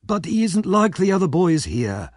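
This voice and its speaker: Man voicing a scheming gang boss, pitched high. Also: big pitch swings, moderate pace, a little mellow, no echo, clean studio sound. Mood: self-justifying. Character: scheming older male gang boss, coaxing and self-excusing.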